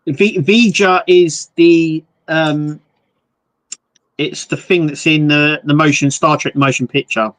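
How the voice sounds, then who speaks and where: Relaxed man chatting about models. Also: fairly high pitch, slow pace. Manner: relaxed, jokey, explains hobby builds with restarts. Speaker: livestream co-host, model builder. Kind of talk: casual chat.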